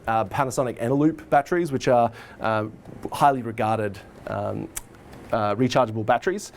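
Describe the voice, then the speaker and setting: Australian man giving an informal tour. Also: medium pitch. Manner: informal, explanatory. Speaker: high school arts and IT head of department giving a tour. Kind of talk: casual behind-the-scenes walkthrough. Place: indoors, school corridor and tech room. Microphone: lapel mic.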